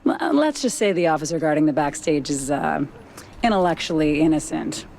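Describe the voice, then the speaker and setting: A woman's voice: sits low, lively intonation, brisk, vocal fry, noisy background. A woman playing a coy, cool mouse informant in a noir cartoon game, with a wry, teasing delivery. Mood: wry, knowing.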